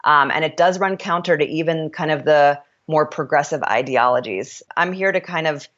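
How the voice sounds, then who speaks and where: A woman with a deep voice, an author and startup founder speaking as an interview guest, frank and opinionated, often restarting her sentences. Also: moderate pace, fairly bright tone, lo-fi sound. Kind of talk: candid interview conversation.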